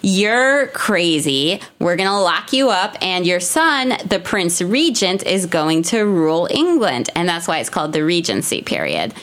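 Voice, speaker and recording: A woman guest on a podcast who does improv, telling stories in a bubbly, lively way. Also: on the low side, measured pace, hardly any pauses. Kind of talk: casual podcast chat.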